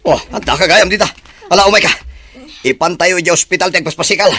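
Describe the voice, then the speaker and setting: A man acting the father in an audio drama, scolding in a gravelly voice. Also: sits high, quick pace, pushed and bright, dry recording.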